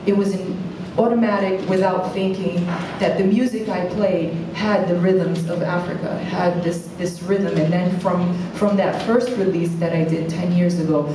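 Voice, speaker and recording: A woman's voice: on the low side, steady tone, moderate pace. Woman, emphatic panel talk, from Cape Verde, strong echo, background noise. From born in Praia, Cape Verde. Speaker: panelist, singer and DJ. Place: stage in a conference hall. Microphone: handheld microphone, recorded by audience camera. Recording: echoey hall from far, noisy.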